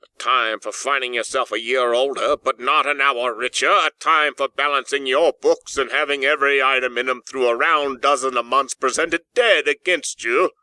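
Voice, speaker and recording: A man's voice: mid-range voice, fairly even intonation, normal speed, hardly any pauses, pushed and bright, clear, resonant tone, no room sound, pitched above the performer's own voice, rough recording. A man puts on a miserly old businessman for an audiobook. He is grumpy and stern, snapping with scornful indignation. The recording is dry, with no room sound. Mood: indignant. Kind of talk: audiobook character acting.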